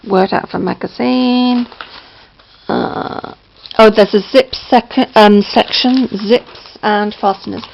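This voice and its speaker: A woman with a slightly croaky voice, chatting casually at home as she shows her craft book, with lots of ums and self-corrections. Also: mid-range voice, dull audio, a little hiss or hum. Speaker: crafting vlogger. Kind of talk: casual show-and-tell. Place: indoors at home, downstairs near a patio.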